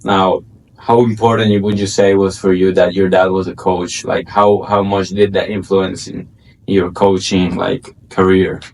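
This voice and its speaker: Flat-toned male podcast co-host. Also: deep voice, unhurried, rough recording. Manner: loose, rambling questions with many likes. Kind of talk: casual interview chat.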